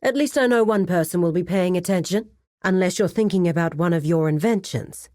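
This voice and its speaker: An actress playing a composed, businesslike crime cartel administrator in a video game, speaking in a formal, measured, authoritative way. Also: female voice, lowish voice, warm tone, dry recording, clean studio sound, natural voice. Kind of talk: scripted video game character dialogue.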